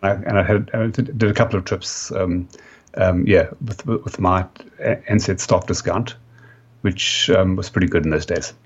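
A South African man in his fifties, a company founder giving a podcast interview, talking haltingly with many fillers and restarts. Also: sits low, steady tone, slightly rough. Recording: dull lo-fi sound.

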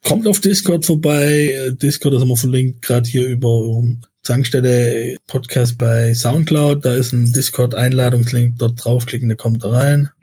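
A man's voice: medium pitch, fairly even intonation, moderate pace, hardly any pauses, warm tone, husky, no room sound. A man with a light Swabian accent hosting a podcast in a rambling, chatty way with many hesitations. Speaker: podcast host. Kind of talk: casual chat.

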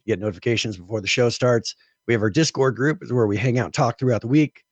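A man's voice: mid-range voice, fast talker, no room sound. A man co-hosting a gaming podcast, his voice scratchy from a cold, explaining at length in casual chat. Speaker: podcast co-host.

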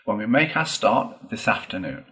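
A man voicing a boastful, excitable gentleman toad in a storybook, declaiming in a pompous, gushing way. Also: on the low side, normal speed. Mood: excited, boastful.

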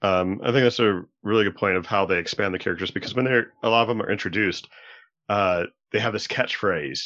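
A man's voice: on the low side, a little fast, fairly bright tone. A man on a podcast panel, politely explaining his points in casual discussion over lo-fi audio. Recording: dull lo-fi audio.

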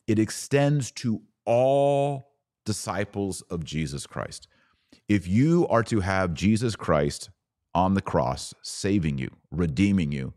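A man with a deep, low voice hosts a religious teaching podcast. The recording is close and dry. He explains scripture emphatically, stretching important words and asking rhetorical questions to lead the listener along. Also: a little mellow, dry recording. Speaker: podcast host.

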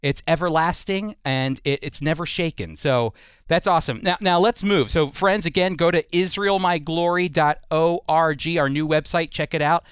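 A man's voice: a little high, moderate pace, fairly bright tone, clear, resonant tone, no echo, studio quality. A man hosting a radio interview, talking conversationally in an earnest, emphatic manner. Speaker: radio host.

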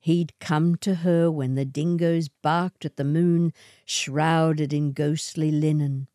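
A woman reading a short story aloud in a deliberate, theatrical storytelling style. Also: low-pitched, steady tone, dark tone, dry recording, studio quality. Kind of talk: dramatic scripted storytelling.

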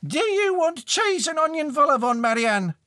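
Man doing a shrill, fussy mother. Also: very animated, fast, a bit bright, no room sound, studio quality, heavily acted. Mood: shrieking. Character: bustling, shrill, fussy mother of a teenage girl.

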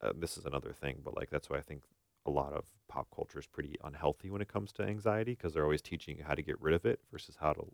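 A man's voice: very deep voice, fairly even intonation, quick pace, vocal fry, no room sound, clean studio sound. A man co-hosting a podcast in casual conversation, reflective and informally qualifying his points. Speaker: podcast co-host, therapist.

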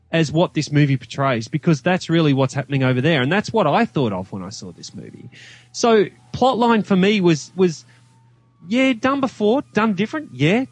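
Australian man, higher-pitched voice, talking film. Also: no echo. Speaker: podcast guest reviewing a film. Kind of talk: casual film discussion.

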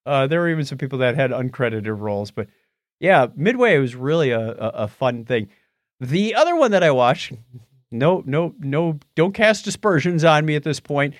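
A man's voice: on the high side, lively intonation, moderate pace, no room sound, clean studio sound. A middle-aged man co-hosting a podcast, recounting things casually and often repeating the starts of his phrases. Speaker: podcast co-host. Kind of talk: casual chat, recounting films.

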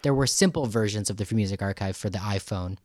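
A man hosting a podcast interview in a conversational style, halting and rambling as he thinks aloud. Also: lowish voice, darkish tone, no room sound, clean studio sound. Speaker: podcast host and radio producer.

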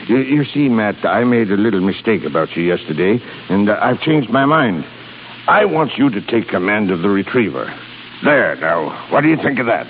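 A man acting a gruff, irascible but soft-hearted old shipping boss in a 1930s radio play. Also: lowish voice, sing-song, moderate pace. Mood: brisk, mollified. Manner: gruff, irascible, colorful sailor's insults. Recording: hissy, crackly, tinny 1930s radio recording.

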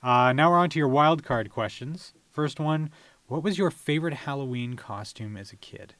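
A man's voice: mid-range voice, animated, normal speed, a bit bright, dry recording. A man hosting a casual interview podcast, tossing out questions and easy banter in an ordinary mid-range voice. The sound is dry, with no room around it. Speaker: podcast co-host.

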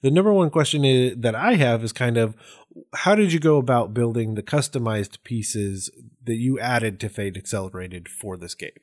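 A man's voice: mid-range voice, normal speed. Casual male podcast host, effusive and rambling.

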